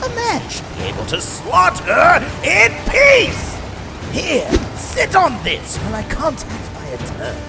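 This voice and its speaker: A man voicing a deranged, gleefully murderous clown villain in a comic-book drama, theatrical and mock-polite, under loud music. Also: very high-pitched, wildly swooping pitch, measured pace, vocal fry, exaggerated voice. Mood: mock outrage.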